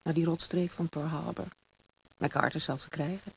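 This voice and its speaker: A woman's voice: sits low, fairly even intonation, moderate pace, gravelly. A woman acting in a Dutch radio play as a weary young mother and pilot's wife in a wartime camp, talking in plain, short phrases. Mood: firm. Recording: faintly noisy radio play recording.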